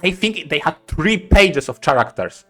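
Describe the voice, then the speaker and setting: A man's voice: on the high side, animated, moderate pace, pushed and bright, soft background music. A man co-hosting a podcast in casual banter, giving opinions in an emphatic, playfully stubborn way. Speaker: podcast co-host.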